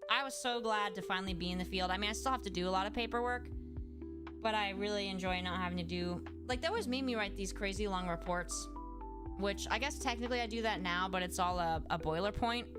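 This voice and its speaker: A woman's voice: mid-range voice, quick pace. Chatty young woman streamer over music. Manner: chatty, full of "like" fillers and restarts. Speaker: speech-language pathologist and streamer. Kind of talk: casual livestream chat answering viewers. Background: background music.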